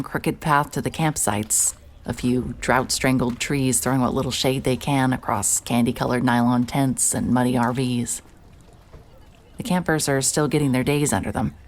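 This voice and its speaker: An actress playing a hard-hearted, weary female private investigator in an audio drama, with a dry, sardonic delivery. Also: rumbling low. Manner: deadpan, sardonic. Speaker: narrator and lead character. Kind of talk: cinematic audio drama, first-person noir narration and dialogue.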